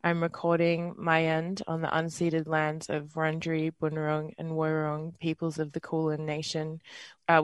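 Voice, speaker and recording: A twenty-eight-year-old radio host with an Australian accent, leading a community panel in an earnest, relaxed way. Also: mid-range voice, dry recording. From Western Australia. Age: 20s. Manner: earnest, relaxed, with fillers and small laughs.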